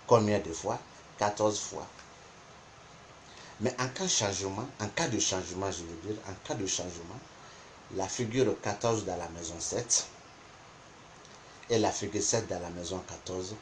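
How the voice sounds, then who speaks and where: A man whose first language is not French, teaching a spoken lesson on interpretation, deliberate and repeating points for emphasis. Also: low-pitched, slight background noise. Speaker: geomancy teacher.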